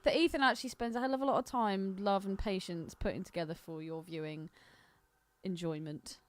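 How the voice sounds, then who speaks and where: A woman's voice: mid-range voice, steady tone, brisk. A woman co-hosting a podcast in casual, blunt, jokey banter. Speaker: podcast co-host.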